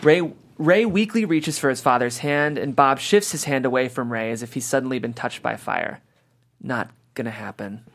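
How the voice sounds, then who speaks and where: A man's voice: medium pitch, normal speed, hardly any pauses. Man narrating a screenplay.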